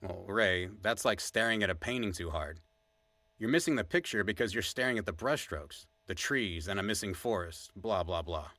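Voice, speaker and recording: A man's voice: low-pitched, expressive, moderate pace, pushed and bright, dry recording, heavily acted. A man playing a wry, opinionated podcast co-host in casual scripted banter. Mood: wry, joking.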